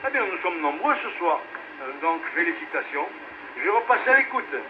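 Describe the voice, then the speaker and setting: A man casually hosting an amateur radio net as its controller, often pausing to think, heard over a radio relay. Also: high-pitched, big pitch swings. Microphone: two-way radio transmission. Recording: muffled, noisy VHF amateur radio relay.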